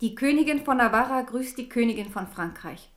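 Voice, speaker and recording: Woman acting a proud devout queen in a radio play, highish voice, stern and unbending. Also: lively intonation, a little fast, close to an everyday voice. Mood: formal, guarded. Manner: stern, dignified, righteous. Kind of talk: German radio drama acting.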